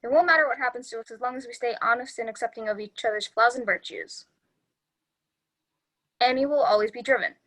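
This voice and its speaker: Bright, earnest, analytical Abed, read by a woman. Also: fairly high pitch, fairly quick, pauses often, poor audio. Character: Abed, clueless, analytical young man explaining timelines. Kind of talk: sitcom script table read.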